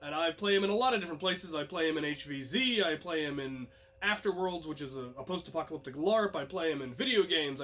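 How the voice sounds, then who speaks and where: Male storyteller, young adult, high-pitched voice, casual first-person anecdote delivery for an online audience. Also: a bit bright. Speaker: host and storyteller.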